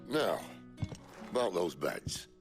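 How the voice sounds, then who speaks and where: An actor playing a gruff, wry, world-weary middle-aged outlaw leader in a video game, with gravelly, colloquial delivery. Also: male voice, deep voice, big pitch swings, takes it slowly, music in the background, exaggerated voice. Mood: wry, pondering. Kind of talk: video game cutscene acting.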